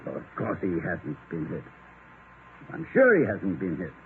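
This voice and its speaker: Flustered, wordy professor, tinny radio. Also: male voice, sits low, huge pitch swings, normal speed. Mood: flustered, harried. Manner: wordy, stammering, exasperated politeness. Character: erudite, flustered, wry college professor husband. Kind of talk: 1950s radio comedy acting. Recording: tinny, noisy 1950 radio recording.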